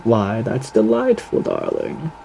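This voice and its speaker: Suave gentleman radio demon, swooping intonation, mellow dark tone, theatrical, flirtatious, faintly menacing, audio roleplay. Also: mid-range voice, huge pitch swings, slow pace, gravelly, slight background noise, heavily acted, low-quality recording. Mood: amused, charming. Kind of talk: theatrical ASMR character roleplay monologue.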